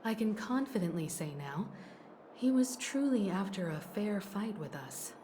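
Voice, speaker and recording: A woman's voice: medium pitch, animated, measured pace, mellow, slight background noise, lightly acted. An actress playing a poised, courteous and assured woman crew member in a video game, formal and articulate. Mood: calm, assured.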